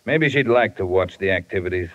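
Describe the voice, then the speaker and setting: An actor playing a menacing, mock-polite gangster boss who gives commands in a 1950s radio drama. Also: male voice, low-pitched, expressive, a little fast, fairly bright tone. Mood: commanding, impatient. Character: menacing, smug gangster boss, coolly bullying. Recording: muffled, noisy 1950 radio recording.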